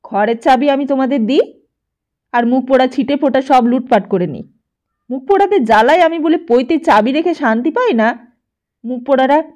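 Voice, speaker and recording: A woman's voice: high-pitched, animated, moderate pace, pitched above the performer's own voice. Woman acting a cantankerous, ranting old miser grandfather. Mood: indignant. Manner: shouting, cursing, ranting. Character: cantankerous miserly old grandfather, half-blind, scolding everyone.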